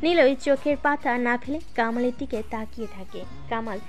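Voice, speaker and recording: Female storyteller, fairly high voice, steady dramatic narration, faint music. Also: moderate pace. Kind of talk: scripted audio story narration.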